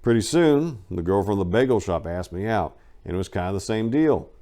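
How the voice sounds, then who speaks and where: A man giving an expressive dramatic reading of a first-person memoir, with emphatic swings in loudness. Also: on the low side, fairly quick. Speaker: narrator.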